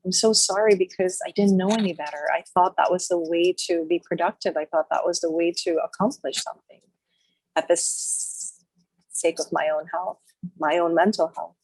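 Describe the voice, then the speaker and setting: A woman guides listeners through a healing meditation in a low, husky voice. She speaks softly and slowly in short repeated phrases with long pauses. The sound is dry and close. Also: steady tone, no room sound, low-quality recording. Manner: slow, soft, repetitive phrases with long pauses.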